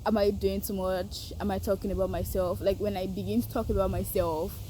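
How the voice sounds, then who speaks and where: A woman with a Nigerian accent reflecting aloud in an unscripted, hesitant way, pausing to search for words. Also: medium pitch, flat delivery, slight background noise, poor audio. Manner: thoughtful, hesitant, searching for words, soft laughs. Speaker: participant sharing reflections. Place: outdoors.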